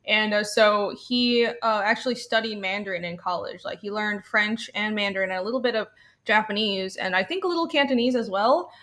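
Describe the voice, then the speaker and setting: A woman's voice: medium pitch, a little fast, fairly bright tone. A young woman from Taiwan, a guest on a live stream, chatting in a bubbly way and laughing often. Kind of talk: casual live-stream chat.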